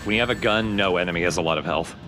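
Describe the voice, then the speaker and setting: Man, casual game commentary, dry sarcasm, middle pitch, clear background music. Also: racing. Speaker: let's play co-host.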